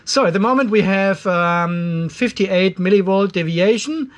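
A man's voice: high-pitched, measured pace. A man in his fifties narrating a hands-on DIY job in a casual, informal way, thinking aloud as he works. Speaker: DIY video host. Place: garage workshop with metal roof. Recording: some background noise in workshop.

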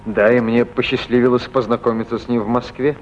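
An actor playing a modest Russian aviator abroad, who speaks in a plain, earnest way. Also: male voice, mid-range voice, flat delivery, a little fast, a little hiss or hum, close to an everyday voice, low-quality recording. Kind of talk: stage play acting.

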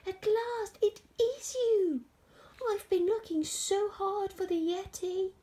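A woman voicing an eager, excitable young boy adventurer from a children's rhyming story. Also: high voice, unhurried, voice pushed up. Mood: excited. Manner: exclaiming, polite when apologising.

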